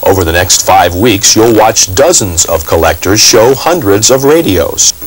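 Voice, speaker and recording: American male host, lower register with animated delivery, reading documentary narration. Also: measured pace, poor audio. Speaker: TV program host, radio collector.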